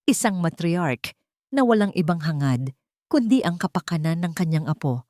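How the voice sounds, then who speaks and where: A woman's voice: on the low side, darkish tone, no echo, studio quality. A woman reading a dramatic story as scripted narration.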